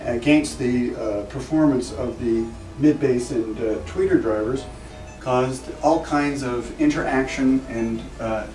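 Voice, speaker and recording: A man's voice: medium pitch, measured pace, a little mellow. Man presenting products in an echoey room. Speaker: loudspeaker company representative presenting products. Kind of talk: informal product presentation, technical explanation. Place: echoey indoor trade show demo room. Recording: roomy, distant mic, music in background.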